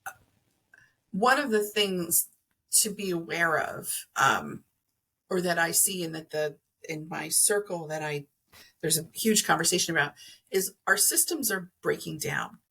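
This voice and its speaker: A woman's voice: fairly low pitch, unhurried, gravelly, a bit bright, breathy edge. A woman speaking as a podcast guest, explaining things conversationally and rephrasing as she thinks aloud. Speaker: podcast guest, energy healer. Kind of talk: conversational explaining of crystals and chakras.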